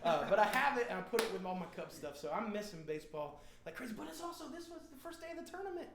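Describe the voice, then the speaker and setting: American guy with a high voice hosting a casual livestream, slangy and jokey, roomy echo. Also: big pitch swings, racing, a crowd in the background. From Indiana, United States. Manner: playful, rambling storyteller, slangy. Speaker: band frontman hosting a live stream. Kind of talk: casual joking banter with viewers. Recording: roomy, echoing live stream sound.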